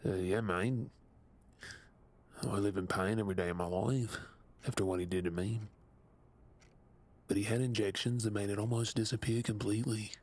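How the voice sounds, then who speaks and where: An Australian man acting a tormented, guilt-ridden captive mutant in an audio drama, speaking in a husky, breathy, subdued and halting way. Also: on the low side, steady tone, darkish tone, lightly acted. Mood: weary, haunted.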